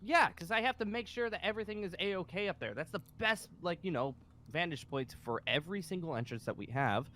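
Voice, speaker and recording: A man's voice: mid-range voice, animated, normal speed, a bit bright. A man playing an exasperated, sarcastic military colonel in an improvised video game roleplay, repeating himself as he goes. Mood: sarcastic anger. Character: exasperated, sarcastic colonel running a zombie survivor safe haven. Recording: music behind the voice.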